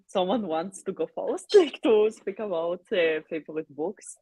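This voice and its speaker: A woman with a low, slightly rough voice, recorded close and dry. English is not her first language, and she searches for words as she chats informally on a reading livestream. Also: animated, unhurried, dark tone, dry recording, poor audio. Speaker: co-host of a livestreamed reading sprint. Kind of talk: casual unscripted livestream chat about books.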